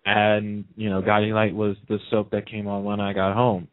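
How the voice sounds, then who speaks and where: A man's voice: fairly low pitch, completely flat delivery, normal speed, no room sound. A man chatting casually as a guest in a radio interview, telling stories in a talkative way. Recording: narrow, tinny phone-line sound.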